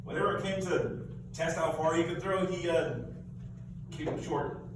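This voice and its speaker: A man's voice: a little high, steady tone, moderate pace. A man narrating a live puppet show for children in a playful, informal way, with some hesitations. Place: large echoey room, live performance. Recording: echoey, noisy room, far from mic.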